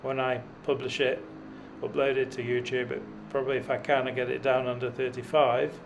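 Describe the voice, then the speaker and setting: Middle-aged man, dry self-mocking vlogger, gravelly tone, cycling outdoors. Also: medium pitch, level tone, a little fast, slight background noise. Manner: dry self-deprecating humour, many fillers. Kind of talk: rambling self-deprecating commentary while riding. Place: outdoors on a mountain road.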